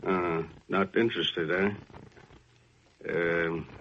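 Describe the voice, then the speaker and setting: An actor playing a suspicious shipwreck survivor with a pointed, insinuating manner in an old-time radio drama. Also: male voice, low-pitched, fairly even intonation, drawn-out words, fairly bright tone, a little hiss or hum, heavily acted. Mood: sly, needling. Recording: muffled old radio recording.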